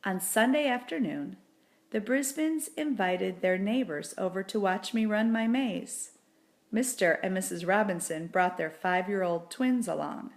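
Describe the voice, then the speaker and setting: A woman narrates a children's storybook at a slow, careful pace. Her reading is expressive and deliberate, with a medium-pitched voice. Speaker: reader of a children's book. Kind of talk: storybook read-aloud narration.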